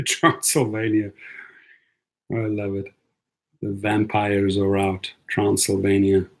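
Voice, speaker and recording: A man's voice: lowish voice, little pitch movement, slow pace, poor audio. A man hosting a casual livestream, upbeat, greeting viewers and repeating his phrases. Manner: upbeat, repeats phrases, gives shout-outs. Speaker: livestream host.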